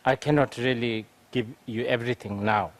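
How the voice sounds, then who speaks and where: A man's voice: medium pitch, steady tone, normal speed. A man, a Buddhist teacher, answers audience questions in a lecture, thinking aloud with frequent fillers.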